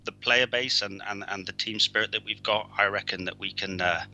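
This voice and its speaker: Casual male guest from Wales, gravelly tone, halting delivery full of fillers. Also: lowish voice, fairly even intonation, sharp tone, highs cut off, a little hiss or hum. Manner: hesitant, many fillers and restarts. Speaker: guest player. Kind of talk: casual chat.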